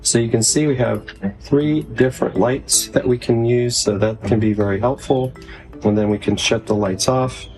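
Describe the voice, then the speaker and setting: A man's voice: mid-range voice, fairly even intonation, normal speed, darkish tone, faint music behind. A man giving a casual, conversational product demonstration as a video reviewer.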